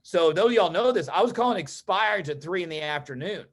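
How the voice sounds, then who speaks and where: A man's voice: high-pitched, animated, brisk. American man telling his story casually. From Texas, United States. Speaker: interview guest, real estate agent and coach. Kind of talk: casual personal storytelling in an online meeting.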